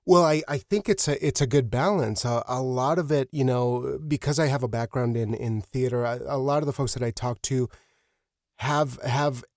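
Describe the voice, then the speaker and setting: A man hosting a conversational interview, speaking earnestly and haltingly, often repeating words as he thinks. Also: mid-range voice, a little mellow, no echo, clean studio sound. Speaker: podcast host.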